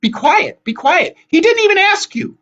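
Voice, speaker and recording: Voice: medium pitch, wildly swooping pitch, a little slow, a bit bright, slightly rough, dull audio, voice pushed up, exaggerated voice. A narrator voicing quarrelsome ancient gray hag sisters who screech, wail and bicker in a storybook reading. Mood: furious shrieking. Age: ancient, thousands of years old.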